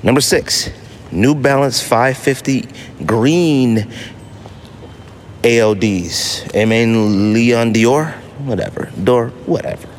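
A man's voice: medium pitch, takes it slowly, a little hiss or hum. An American man hosting a casual solo podcast, talking in a slangy, emphatic way and repeating phrases for effect. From United States. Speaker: podcast host.

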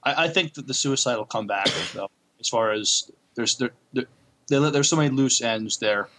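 A man co-hosting a podcast, chatting casually about shows in a hesitant, halting way. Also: medium pitch, fairly bright tone. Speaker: podcast co-host. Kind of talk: casual chat reviewing TV shows.

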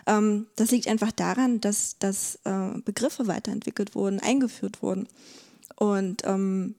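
A woman's voice: medium pitch, fairly even intonation, measured pace, vocal fry, dark tone. A woman explaining as an expert guest, hesitantly searching for her words. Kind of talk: explanatory conversation.

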